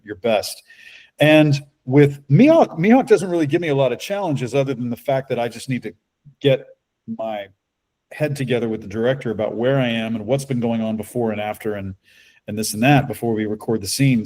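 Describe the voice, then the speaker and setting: A middle-aged man with a deep bass-baritone speaks in an easygoing, conversational way. He tells long, flowing stories with a calm, steady delivery. It sounds like a relaxed podcast interview. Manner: relaxed, talkative, storytelling. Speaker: voice actor guest.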